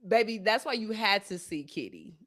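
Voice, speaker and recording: A woman's voice: mid-range voice, very animated, moderate pace, a bit bright. A woman co-hosting a live sports talk show, speaking emphatically in casual chat with folksy asides. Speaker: podcast co-host.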